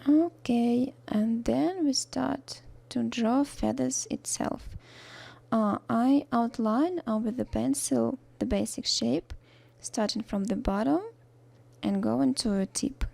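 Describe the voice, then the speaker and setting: Young Russian-accented woman, mellow and gravelly, halting tutorial voice-over. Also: a little high. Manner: halting, with many fillers and restarts, soft-spoken. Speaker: art tutorial narrator. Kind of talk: voice-over narration of a drawing tutorial.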